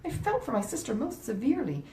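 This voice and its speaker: A woman voicing a romantic, earnest teenage girl from a Regency novel, with emphatic, drawn-out delivery. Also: huge pitch swings, mellow. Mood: earnest, disdainful. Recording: roomy echo, faint rumbling noise.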